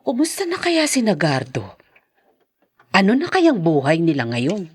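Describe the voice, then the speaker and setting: A woman's voice: fairly low pitch, huge pitch swings, unhurried, a little mellow, husky, exaggerated voice. A woman playing a kindly, motherly older adviser in a Filipino radio drama, with a Filipino accent. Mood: fond, inquisitive.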